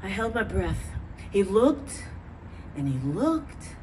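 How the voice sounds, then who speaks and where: Recorded from a distance in a noisy live venue, an actress plays a woman fondly recounting an intimate awakening. Her delivery is theatrical and savouring, with big swoops in pitch and lingering, deliberate pauses. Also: very animated, darkish tone. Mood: savouring, amused. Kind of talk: theatrical monologue at a staged reading.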